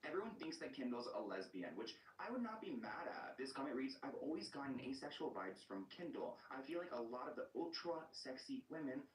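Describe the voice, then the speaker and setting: A man's voice: mid-range voice, very animated, brisk, few pauses. A man doing celebrity gossip commentary, chatty and opinionated, reading out viewer comments. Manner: chatty and opinionated, with frequent likes. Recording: echoey video audio from a distance, with noise.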